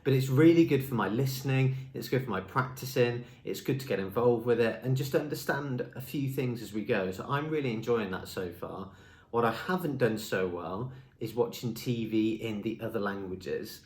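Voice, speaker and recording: Young male vlogger, English native, mid-pitched, friendly and chatty, speaking to camera in a roomy space. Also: moderate pace, a little mellow. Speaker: language school marketing presenter. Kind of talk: talking-head vlog. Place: indoor room with a screen behind him.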